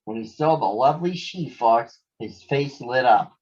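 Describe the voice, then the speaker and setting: A man's voice: mid-range voice, lively intonation, a little slow, a little mellow, highs cut off. A man narrating a children's story from a script as a storybook reader.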